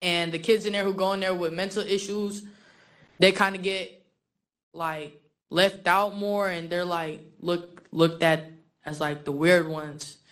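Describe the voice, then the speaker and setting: A young woman speaks informally about her own experience. Her delivery is casual and halting, peppered with "like" as she searches for words. She sounds like a guest giving personal testimony. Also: fairly low pitch, fairly even intonation. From born in the Philippines, raised in San Francisco from age eight. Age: young adult.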